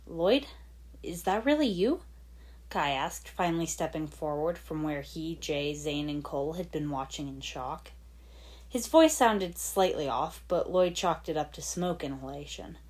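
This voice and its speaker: A woman's voice: low voice, moderate pace, a little mellow. A woman reading fan fiction aloud as dramatic scripted story narration. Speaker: fan fiction podfic reader.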